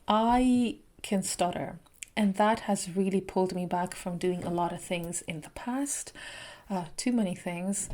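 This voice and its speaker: A woman vlogger telling a personal story in an unhurried, reflective way, pausing between thoughts. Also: medium pitch, a little mellow. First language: not Spanish.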